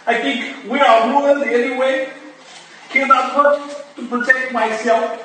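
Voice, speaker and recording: Casting: actress as defensive Russian ballet woman, very high bright voice, halting emphatic delivery, staged drama in an echoey room. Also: steady tone, heavily acted. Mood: defensive, anxious. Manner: halting, clipped grammar, emphatic. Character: distraught Russian ballet woman under questioning, pleading innocence. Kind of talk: staged reading of period mystery drama. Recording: echoey room from far off, faint noise.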